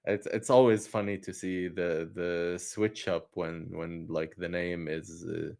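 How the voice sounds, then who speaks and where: Man from palestine, very low voice, easygoing, joking, casual chat. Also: unhurried, vocal fry. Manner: relaxed and joking, with restarts. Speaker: guest activist. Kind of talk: casual conversation.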